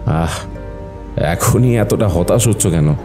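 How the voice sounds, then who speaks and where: A man acting a calm, patient, shrewd doctor turned detective in an audio drama, measured and mentoring. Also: low voice, faint music behind. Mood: encouraging.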